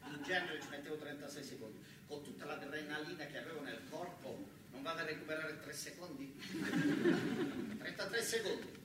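A man's voice: a little high, brisk. Male storyteller, southern Italian accent, comic colloquial monologue, recorded distantly in a very echoey hall. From southern Italy. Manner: animated, colloquial, repeats words for effect. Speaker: stage actor and storyteller. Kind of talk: comic theatrical monologue as a factory worker. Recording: echoey hall, distant mic, noisy background.

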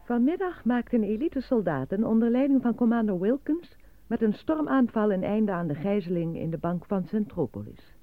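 A woman's voice: medium pitch, animated, no echo, natural voice. A woman acting as a formal, matter-of-fact newsreader reading a bulletin in a radio drama. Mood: formal, factual. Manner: formal, measured announcing. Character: matter-of-fact female newsreader reporting grim news. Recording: dry, thin phone-like radio bulletin.

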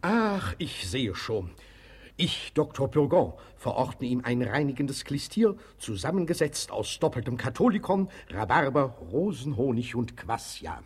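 A man's voice: medium pitch, big pitch swings, a little slow, husky. An actor playing a self-important male quack doctor in a radio comedy, pompous and declamatory. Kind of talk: German radio play comedy acting.

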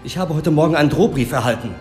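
A man's voice: a little high, expressive, fast talker, darkish tone, close to an everyday voice. Guy playing a frightened bitter funnyman, weary and emphatic, radio drama, echoey room. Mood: bitter, indignant. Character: bitter, frightened male comedian, old friend of detective. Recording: roomy echo, faint music.

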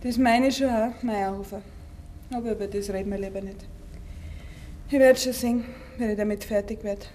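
An actress playing an earnest, determined young country woman with a Bavarian accent in a 1950s radio play, speaking in a measured, insistent way. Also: medium pitch, steady tone, warm tone, close to an everyday voice. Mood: sad, restrained. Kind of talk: 1950s German dialect radio play acting. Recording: 1950s radio recording with faint hiss.

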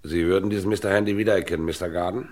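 An actor playing a probing police inspector in a radio crime drama, asking clipped, businesslike questions. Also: male voice, deep voice, steady tone, rapid-fire, a little mellow, lightly acted.

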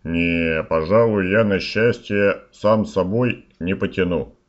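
Man acting cheeky peasant fool, low pitch, slow folksy rhymes, fairy-tale audio play, dull sound. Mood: resigned, musing.

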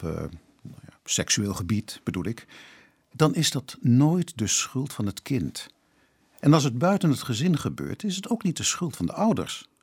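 A man playing a probing but considerate police inspector in a radio drama, husky and careful with words. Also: mid-range voice, a little mellow.

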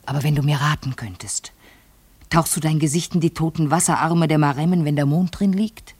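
A woman's voice: low-pitched, brisk, a little mellow. An actress playing a vain, obsessive lady fearful of ageing, speaking theatrically and intensely in a literary radio play. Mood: pleading, brooding. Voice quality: husky, breathy, creaky. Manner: theatrical, intense, addressing a servant.

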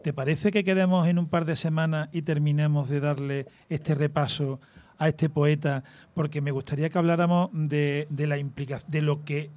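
A man with a voice pitched a touch above average hosts a radio conversation. He praises his guest freely. He hesitates often and keeps tagging his remarks with little questions. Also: level tone, darkish tone, no room sound. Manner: effusive, fills pauses with "eh" and "¿no?". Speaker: radio host. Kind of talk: talk show interview.

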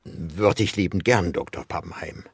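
An actor playing a sardonic, self-mocking musician with a husky, gravelly voice and a theatrical delivery in a radio drama. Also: male voice, sits low, moderate pace, no echo, full character voice. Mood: wry, teasing.

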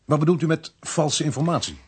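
Animated, earnest radio play narrator. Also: male voice, a little high, a little fast, slightly rough. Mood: puzzled. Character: earnest male narrator-protagonist, puzzled companion to an investigator. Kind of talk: dramatic first-person radio play narration.

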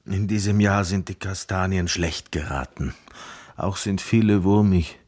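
Deep, husky chestnut seller. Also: male voice, a little slow, a little mellow. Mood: grumbling. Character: plain-spoken, simple travelling chestnut seller, family man. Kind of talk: radio drama acting.

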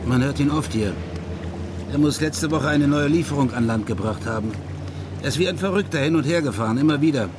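A man's voice: a little high, a little fast, natural voice. Wry ex-detective played by a man, faint noise behind. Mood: wry, reflective. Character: Dutch ex-police detective, wry laid-back man in exile. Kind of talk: radio crime drama dialogue.

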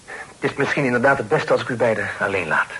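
An actor playing a tactful, polite young gentleman in a radio play, speaking formally. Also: medium pitch, quick pace, slightly rough. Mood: courteous, tactful. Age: young adult. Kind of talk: Dutch radio play acting. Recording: noisy old radio drama recording.

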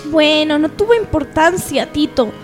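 A woman's voice: high-pitched, lively intonation, unhurried, soft background music. A woman playing a sweet, apologetic, girlish young daughter in a parody radio soap. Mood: affectionate.

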